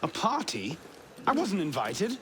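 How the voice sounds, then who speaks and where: A lively young rogue from a historical video game, speaking with swooping, animated pitch. He is flippant and wry, with a slightly high voice over clearly noisy ambience. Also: measured pace, heavily acted. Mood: breezy, cheeky. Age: young adult. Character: cheeky, roguish young man of 18th-century France. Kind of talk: video game cutscene acting.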